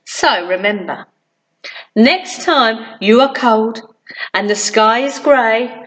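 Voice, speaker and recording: A woman reading a children's story aloud in an expressive, deliberate way for young listeners. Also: a little high, poor audio. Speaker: primary school storytime reader.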